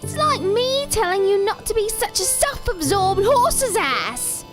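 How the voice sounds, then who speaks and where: A woman's voice: very high-pitched, big pitch swings, a little slow, music in the background, heavily acted. A woman playing a sarcastic, foul-mouthed old female ventriloquist's dummy in an audio drama, theatrical and biting. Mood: indignant.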